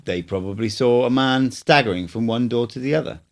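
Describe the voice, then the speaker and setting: A man voicing an old male sorcerer and teacher in an audiobook, speaking in a measured, instructive way. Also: medium pitch, close to an everyday voice. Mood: explaining. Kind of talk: audiobook dialogue reading.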